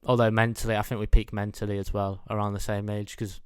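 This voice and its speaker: A man's voice: lowish voice, little pitch movement, fast talker, smooth, no room sound, studio quality. A man in his mid twenties with a British accent, giving a rambling, dryly mock-earnest comic monologue. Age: mid 20s. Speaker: comedian, host of own show. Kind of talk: comedic monologue.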